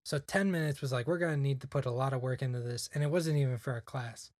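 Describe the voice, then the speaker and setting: A man's voice: mid-range voice, fast, a little mellow, dry recording, studio quality. A young man chatting casually on a podcast, rambling with lots of "like" fillers. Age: young adult. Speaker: podcast guest. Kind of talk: casual chat.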